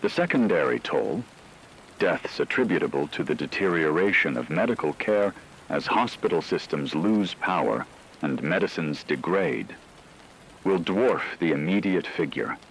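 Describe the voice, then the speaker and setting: A man playing a grave radio anchor who delivers scripted news of a global catastrophe in a solemn, measured, formal way. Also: low voice, fairly bright tone, a little hiss or hum, heavily acted, low-quality recording. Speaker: emergency broadcast announcer.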